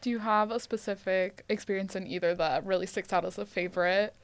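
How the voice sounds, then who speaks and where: A woman's voice: medium pitch, fairly even intonation, a little fast, no echo. A woman with a mid-range voice co-hosts a podcast and asks short, direct questions. Her voice is recorded dry and close. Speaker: podcast co-host. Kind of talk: interviewing.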